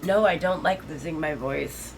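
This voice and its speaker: Hoarse low-voiced woman chatting on stream. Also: normal speed, background noise. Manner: chatty, animated storyteller. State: hoarse, losing her voice from a cold. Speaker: streamer and server owner. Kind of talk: casual chat on a live stream.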